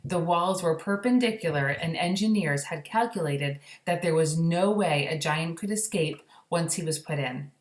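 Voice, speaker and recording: A woman with a slightly low voice reads a storybook aloud. She pauses for casual, explanatory asides, and the room sounds echoey. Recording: roomy indoor echo.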